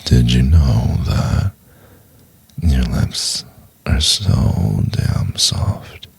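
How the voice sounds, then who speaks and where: Very deep, gravelly seductive professor played by a man. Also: dry recording, heavily acted. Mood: intimate, adoring. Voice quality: breathy, gravelly, very low, often near whisper.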